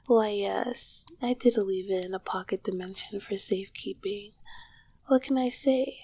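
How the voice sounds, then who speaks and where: Woman playing a breathy, whispering, regal succubus queen. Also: medium pitch, unhurried, warm tone, no room sound. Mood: proud, affectionate. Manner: regal, old-fashioned, affectionate phrasing. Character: ancient succubus queen of Hell, tender, regal, flirtatious lover. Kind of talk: whispered ASMR roleplay.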